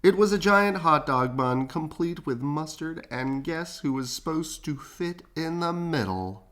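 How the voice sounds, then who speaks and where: Low-voiced woman, very animated storyteller. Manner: expressive, dramatic pauses. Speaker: storybook reader. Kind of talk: animated children's read-aloud with casual chat.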